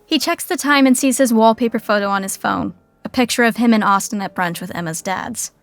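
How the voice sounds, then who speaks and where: A woman's voice: on the high side, brisk, faint music behind. A woman narrating a scripted sitcom in the present tense.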